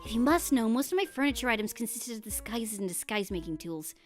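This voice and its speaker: A woman's voice: fairly high pitch, animated, fast, soft background music, natural voice. A woman voicing a hurt, defensive female penguin spy in a game story, curt and sarcastic. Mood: curt, bitter.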